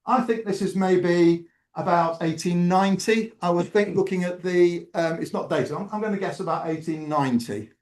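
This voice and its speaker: A man's voice: high voice, normal speed, darkish tone. Man in his sixties lecturing. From England. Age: 60s. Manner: conversational, with frequent ums and restarts. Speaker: guest speaker. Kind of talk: public lecture with slides. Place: lecture room. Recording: echoey room.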